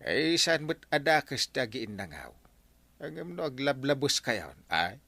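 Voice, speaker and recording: A man acting in a Filipino radio comedy as an excitable, argumentative, blustery village captain with an Ilocano accent, hemming and chuckling as he talks. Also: mid-range voice, measured pace, vocal fry, dry recording, full character voice. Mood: animated, nosy. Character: Enteng, blustery comic village captain fond of drink.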